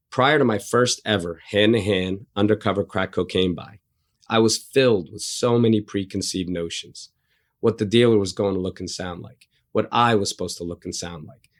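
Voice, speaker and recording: Middle-aged man born in Egypt, raised in New Jersey. Candid guest storyteller, blunt and wry, with a lower voice. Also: normal speed. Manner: blunt, self-deprecating, casual swearing. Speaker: interview guest, undercover agent.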